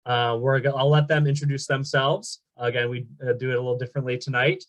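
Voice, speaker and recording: A man hosts a panel webinar in a friendly, upbeat way, peppering his remarks with ums. His voice sounds dry and close over a video call, at an ordinary middle pitch. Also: fairly even intonation, fast, no room sound. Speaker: event moderator.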